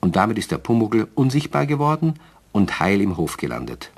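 A man's voice: on the low side, sing-song, a little fast, breathy edge. A man narrating a radio play as a storyteller, with scripted, slightly wry delivery.

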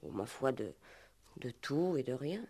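An actress playing a composed, guarded young woman under police questioning in a 1960s French radio play, giving polite, measured, softly insistent answers. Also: rumbling low, big pitch swings, full character voice.

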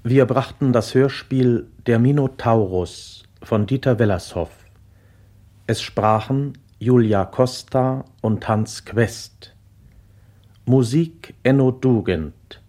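Radio announcer guy reading credits, slow and mellow. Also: medium pitch. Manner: measured, formal. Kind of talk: formal closing credits announcement.

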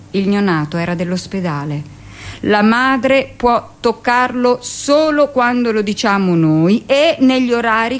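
A woman's voice: medium pitch, lightly acted. Confiding hardened midwife, acted by a woman. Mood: matter-of-fact, defensive. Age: middle-aged. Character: hardened middle-aged hospital midwife, matter-of-fact about cruelty, confiding. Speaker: actress performing a radio monologue. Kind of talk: dramatic first-person theatrical monologue.

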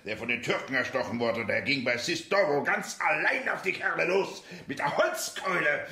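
A jolly bragging army officer, radio play, bright forceful voice, swings around a lot, hearty, echoey. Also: male voice, on the high side, normal speed. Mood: hearty, amused. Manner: hearty, animated, chuckling. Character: jovial, boastful military officer telling war anecdotes. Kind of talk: German radio drama acting. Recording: roomy, echoing studio sound.

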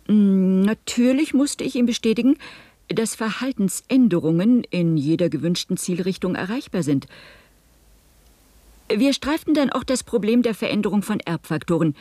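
Precise female witness, radio play. Mellow, measured, formal delivery, very dry sound. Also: on the low side, no room sound. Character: composed educated female witness, a scientist's wife, precise. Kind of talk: 1970s German radio play acting.

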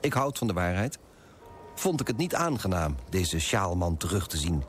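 A man's voice: sits low, big pitch swings, normal speed, soft background music. An actor playing a smug, moralizing male broker who narrates in a pompous, disapproving way in a radio play. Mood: smug, reflective.

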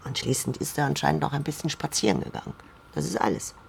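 A weary tavern landlady in a radio drama. Her voice has a marked gravelly creak. She speaks curtly and dryly, guarded and gruff. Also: deep voice, expressive, fast talker, a little hiss or hum, exaggerated voice. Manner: curt, dry, guarded. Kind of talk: German radio drama acting.

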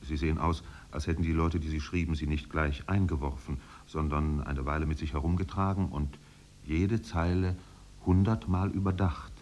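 An actor narrating a 1950s German radio play as a solemn, contemplative storyteller with measured, poetic delivery. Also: male voice, very deep voice, fairly even intonation, darkish tone. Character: solemn, contemplative male storyteller of island exiles. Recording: faintly noisy 1950s radio recording.

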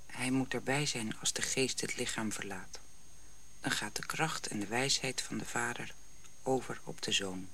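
A woman playing a gentle African mother in a radio drama, speaking in a slow, hushed storytelling way. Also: rumbling low, a little hiss or hum, full character voice. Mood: soothing. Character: gentle African mother soothing her young child.

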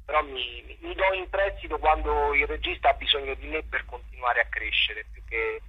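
A man's voice: fairly high pitch, normal speed, husky, lo-fi sound. A middle-aged man speaking conversationally as a guest theatre director, searching for his words and repeating himself. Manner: hesitant, reflective, repeats words while searching for phrasing. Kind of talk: conversational interview.

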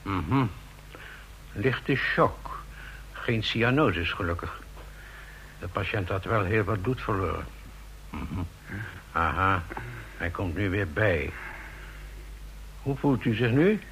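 A man playing a chatty, self-assured village doctor in a Dutch radio drama, gravelly and husky, with brisk bedside patter. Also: fairly low pitch, animated, a bit bright, a little hiss or hum, full character voice, poor audio. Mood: brisk, businesslike. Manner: brisk, jovial, matter-of-fact bedside patter.

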